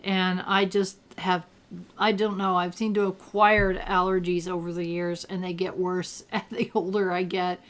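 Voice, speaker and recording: An older retired woman with a gravelly, allergy-roughened voice, chatting casually while she plays a game and thinks aloud. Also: fairly low pitch, flat delivery, a little slow. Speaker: gameplay commentator. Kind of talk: casual gameplay commentary.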